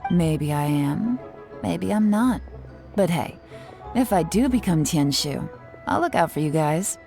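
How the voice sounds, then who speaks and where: Game casting: shrewd, teasing female investigator, lowish pitch, composed and deliberate, music behind. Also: animated, vocal fry, warm tone. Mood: coy, teasing. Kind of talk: video game character acting.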